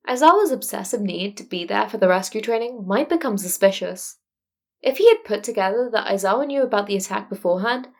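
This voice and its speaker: A woman reading scripted fan fiction as an audiobook narrator. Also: medium pitch.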